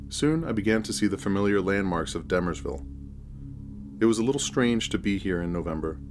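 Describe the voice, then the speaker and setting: A man narrating a scripted first-person horror story in a measured, deliberate storytelling manner. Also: low voice, background noise.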